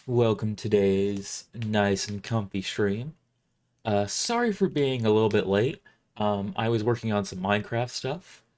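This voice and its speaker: A man's voice: lowish voice, a little slow, a little mellow. A man hosting a casual livestream, chatting and narrating a science fiction story he wrote. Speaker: streamer and author reading own book.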